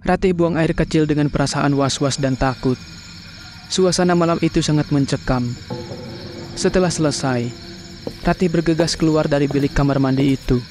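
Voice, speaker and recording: A man giving scripted narration for a horror cartoon in a steady, measured way. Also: on the high side, over music.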